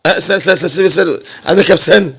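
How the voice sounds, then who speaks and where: Egyptian male family vlogger, rapid informal chat, repetitive, viewer-directed delivery. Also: high-pitched, creaky, a bit bright, husky. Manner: repeats himself, often addresses viewers.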